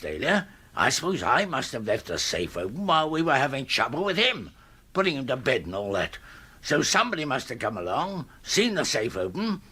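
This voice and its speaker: An older upper-class English uncle in a radio comedy, peevish and exasperated. His voice is raspy yet sharp and swings up and down as he grumbles with agitated emphasis. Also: on the high side, heavily acted. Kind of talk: radio comedy drama acting.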